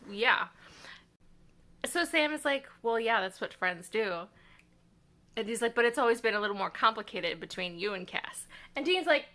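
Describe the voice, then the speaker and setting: A woman reading vlogger chatting casually and expressively, with halting thoughts that trail off. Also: a little high, pushed and bright. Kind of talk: casual reaction vlog chat.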